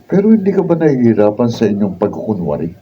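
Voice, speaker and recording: A man's voice: fairly low pitch, very animated, quick pace. A man acting a concerned friend giving advice in a Filipino radio drama, with a Filipino accent. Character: concerned, advice-giving male buddy of a husband. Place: radio drama recording session. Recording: dull, slightly noisy raw video.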